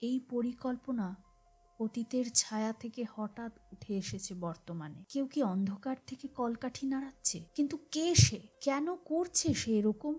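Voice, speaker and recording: A woman with a soft, dark-toned voice reads a suspense detective tale as a podcast narrator. Her delivery is measured and dramatic, sinking into hushed phrase endings. Music plays underneath her narration. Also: on the high side, expressive. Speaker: narrator of an audio story podcast. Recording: voice over a music bed.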